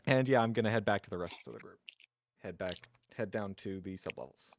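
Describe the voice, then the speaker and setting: A man's voice: fairly low pitch, brisk, a little hiss or hum. A man chatting casually as a player in a tabletop roleplaying game, with a wry, sarcastic way of talking.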